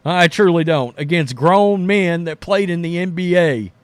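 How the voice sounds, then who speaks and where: A man's voice: on the high side, measured pace, clear, resonant tone, dry recording. A man giving casual, opinionated sports commentary as a show host, talking in a blunt, informal way. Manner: blunt, informal, mildly profane.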